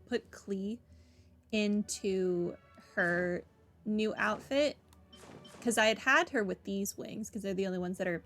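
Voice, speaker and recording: A woman hosting a casual game playthrough in an unhurried, drawn-out way, with a gravelly fry in her voice. She rambles and comments with dry sarcasm over faint music. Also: medium pitch, fairly bright tone. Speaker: gaming video host. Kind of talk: casual playthrough commentary, reading dialogue aloud.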